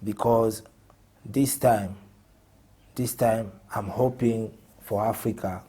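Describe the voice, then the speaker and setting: A man's voice: on the low side, fairly even intonation, takes it slowly, warm tone, slightly rough. A man from Congo, a music artist guest talking earnestly in a casual interview and often repeating his words.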